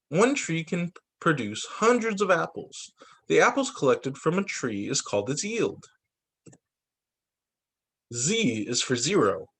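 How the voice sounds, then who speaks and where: A man who is a teacher reading a children's picture book aloud in an expressive, sing-song storytelling way. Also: a little high, slightly rough. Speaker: teacher reading to young students.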